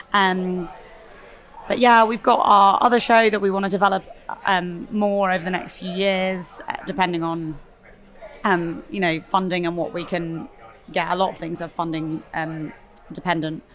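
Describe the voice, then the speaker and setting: A woman's voice: on the low side, a bit bright. Young woman, theatre performer, slight husk, loose chatty interview delivery. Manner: chatty, lots of fillers, laughs easily. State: slightly husky. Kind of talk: casual interview chat. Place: festival venue interview.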